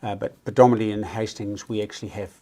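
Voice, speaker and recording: A man with a Dutch accent, a regional council deputy chair, answering questions on a live stream in an earnest, halting way as he searches for words. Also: lowish voice, steady tone, dark tone. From Netherlands.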